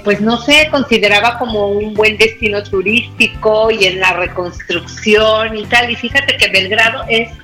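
A woman with a Mexican accent chats on a local radio show, her voice bright and ringing. She talks casually, slows down when she gives facts and corrects herself along the way. Faint music plays underneath. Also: medium pitch, poor audio. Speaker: travel segment co-host. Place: remote from the studio.

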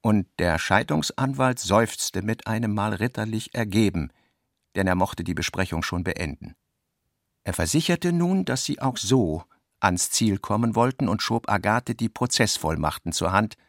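Creaky-voiced male literary narrator, close and dry. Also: on the low side, animated, moderate pace, dry recording. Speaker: narrator of a radio drama. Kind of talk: literary scripted narration, voicing reported dialogue.

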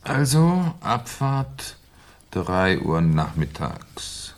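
A man acting a polite, pedantic, quietly sceptical police inspector in a German radio crime play, questioning hesitantly and deliberately. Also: deep voice, very animated, exaggerated voice. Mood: methodical, courteous.

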